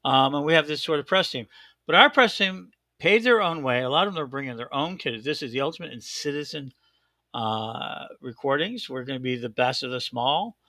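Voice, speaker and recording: A man hosting a casual show in a thick Chicago accent, rambling excitedly and often doubling back on himself. His voice is bright and gravelly. The sound is close and dry. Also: medium pitch, fairly quick, no room sound. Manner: excitable, rambling, self-correcting. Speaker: host. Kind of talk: casual live-stream chat.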